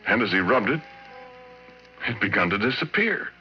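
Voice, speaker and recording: An actor playing a folksy, shaken oil-rig worker telling a horror story in a rambling, ungrammatical way, as in 1940s radio drama. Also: male voice, lowish voice, sing-song, moderate pace. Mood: awed, uneasy. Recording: dull vintage radio recording, music behind.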